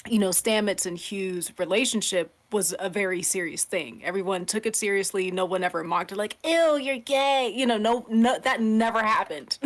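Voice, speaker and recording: A woman's voice: mid-range voice, normal speed. A woman on a podcast panel, talking casually and earnestly as she explains a point, acting out imagined quotes as she goes.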